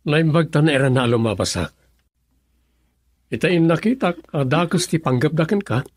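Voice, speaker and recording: Casting: concerned, respected gentleman for Ilocano radio drama; Filipino accent, animated delivery, close dry recording. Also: a little high, moderate pace, no room sound. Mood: concerned, insistent. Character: concerned gentleman driver, male, addressed respectfully as sir.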